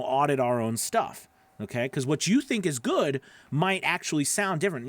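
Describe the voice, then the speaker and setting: A man's voice: a little high, dry recording. A man in his forties giving casual livestream advice in an emphatic, encouraging way, repeating phrases for stress, close on a studio microphone. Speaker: host, voice-over coach. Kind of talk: casual livestream advice, answering viewer questions. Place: small home studio, indoors. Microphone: close studio microphone on boom arm.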